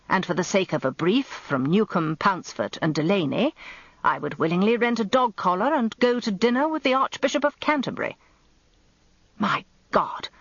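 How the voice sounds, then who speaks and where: An actor playing a pompous, grumbling old barrister in a radio drama, British, with a grandiloquent and plaintive way of talking. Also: male voice, high voice, a bit bright, no echo, dull audio, full character voice. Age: older. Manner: grandiloquent, plaintive, self-important.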